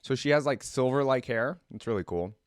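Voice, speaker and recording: A man's voice: medium pitch, animated, a little fast, no room sound, studio quality. A man hosting a reaction video, reading aloud and commenting casually.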